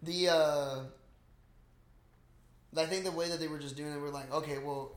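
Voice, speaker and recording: A man's voice: on the high side, fairly even intonation, normal speed. A young man co-hosting a podcast, casually recapping a movie in a slangy, profane, rambling way. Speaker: podcast co-host. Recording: roomy, echoing room sound.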